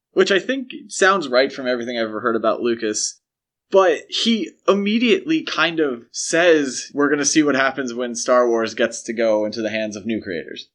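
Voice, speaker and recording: A man with a medium-pitched voice co-hosts a casual discussion podcast. He explains things step by step in a conversational way, as if talking a topic through with a partner. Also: fairly bright tone. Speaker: podcast co-host. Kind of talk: casual film franchise discussion.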